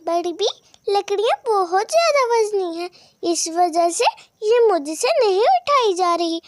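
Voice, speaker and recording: Woman as a squeaky, plaintive little bird. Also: animated, measured pace, dry recording, studio quality. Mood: sad, helpless. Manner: plaintive, childlike. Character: small, weak, sad little girl bird.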